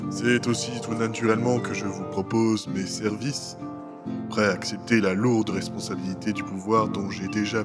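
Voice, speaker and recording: Man playing a low, grandiloquent regent mage. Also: lightly acted. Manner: grave, grandiloquent. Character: ambitious, dignified male regent archmage. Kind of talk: scripted fantasy audio drama.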